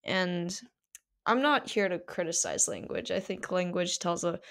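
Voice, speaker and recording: A woman's voice: fairly low pitch, unhurried, creaky, fairly bright tone. Teenage woman hosting a podcast. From South Korea. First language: Korean. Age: teens. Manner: chatty, many fillers. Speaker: podcast host. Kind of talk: casual solo podcast monologue.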